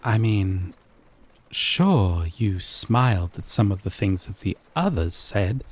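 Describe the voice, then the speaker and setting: A man playing a gentle but obsessive, possessive dating-sim character in an intimate roleplay monologue, with soft breathy delivery and lingering pauses. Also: fairly low pitch, big pitch swings, slight background noise. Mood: amused, disdainful.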